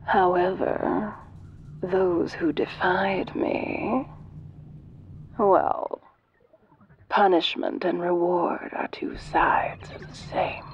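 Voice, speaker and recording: A woman voicing an ancient, godlike alien in a soft-voiced audio roleplay, sinister, seductive and imperious, speaking formally and silkily. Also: medium pitch, creaky, muffled recording. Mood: menacing. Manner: slow, imperious, formal, silky.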